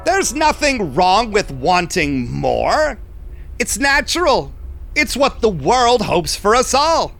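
A man's voice: high-pitched, sing-song, slow pace, gravelly, a bit bright, slight background noise, full character voice. An actor playing a sly, self-assured male vampire businessman who schemes and tells his own story in an audio drama. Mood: sly, confiding. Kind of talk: audio drama acting and first-person narration.

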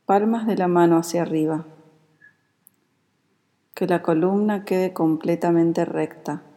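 A woman with a Rioplatense Spanish accent guides a meditation in a calm, soothing, unhurried manner. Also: fairly low pitch. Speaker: yoga nidra meditation guide. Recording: long reverberant hall-like echo.

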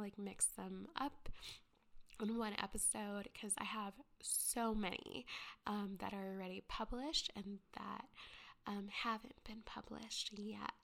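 A young woman with a stuffy, congested voice, talking slowly in a soft half-whisper. Her girly tone carries a gravelly, creaky edge as she rambles through her intro, dotted with fillers. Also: mid-range voice. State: stuffy from a cold. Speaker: ghost story podcaster. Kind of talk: soft whispered casual chat.

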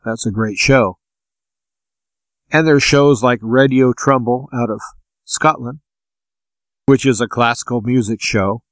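A man speaks at a middle pitch in a dry, close recording, as a radio host would. He explains practical tips informally, in a plain-spoken and unhurried way. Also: no room sound. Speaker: radio show host giving advice.

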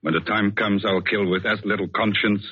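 An actor in a 1940s radio drama playing an intense, vengeful artist with a commanding, dramatic delivery. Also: male voice, on the low side, fairly even intonation, brisk, husky. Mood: grim resolve. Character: intense, vengeful French artist bent on killing. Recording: tinny old radio recording.

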